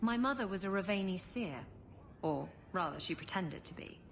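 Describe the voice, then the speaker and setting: A woman's voice: mid-range voice, animated, moderate pace, slight background noise, lightly acted. An actress playing a frank, teasing, worldly woman adventurer in a video game, playful and knowing. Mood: wry, matter-of-fact. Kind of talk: dramatic video game dialogue.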